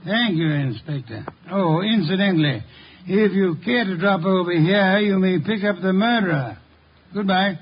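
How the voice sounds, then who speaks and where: A man acts out an eccentric master detective with a notably high voice. He is pompous, precise and coolly formal, in the manner of 1950s radio drama. The recording is dull, with some noise. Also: normal speed, natural voice. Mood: coolly certain.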